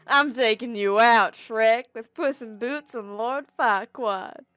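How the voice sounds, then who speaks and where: A woman voicing a pushy, upbeat male talking donkey who coaxes loudly and slowly. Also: fairly high pitch, clear, resonant tone, dry recording, faint music behind. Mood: coaxing.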